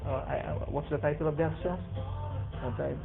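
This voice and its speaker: A man's voice: on the high side, fairly even intonation, brisk, gravelly. A man with a Filipino accent chatting casually over two-way radio, hesitant and searching for his words. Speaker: amateur radio operator in an on-air conversation. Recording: phone-like radio audio, faint music behind.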